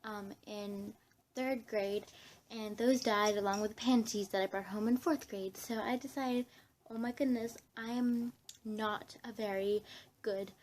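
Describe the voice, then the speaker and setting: A woman's voice: medium pitch, fairly even intonation, unhurried, a little mellow, slight background noise. A young woman chatting casually to camera as a vlogger, in a scattered, giggly way, often interrupting herself. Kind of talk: casual rambling chat to camera.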